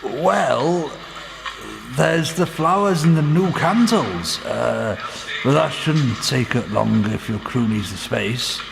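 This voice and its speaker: An actor in a radio play as an earnest, middle-aged father and churchman, speaking gravely and formally of coming evil. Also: mid-range voice, expressive, slow, background noise. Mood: polite.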